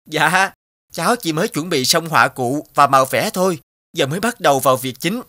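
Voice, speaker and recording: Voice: medium pitch, animated, brisk, sharp tone, dry recording, clean studio sound. An actor voicing a proud young male painter in a cartoon dub, polite but boastful. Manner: polite, self-satisfied. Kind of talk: cartoon dubbing. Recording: music behind the voice.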